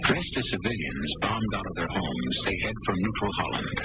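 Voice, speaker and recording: A man's voice: sits low, animated, moderate pace. A man narrating an old-time radio drama in a dramatic scripted style. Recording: noisy, dull old radio broadcast recording.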